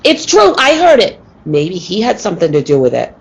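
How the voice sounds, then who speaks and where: Lady doing snappy circus dame, radio play, raspy, defensive, pitch jumps around. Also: wildly swooping pitch, moderate pace, exaggerated voice. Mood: accusing. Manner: blunt, defensive. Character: sharp-tongued, defensive circus woman, blunt and impatient. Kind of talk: old-time radio play acting.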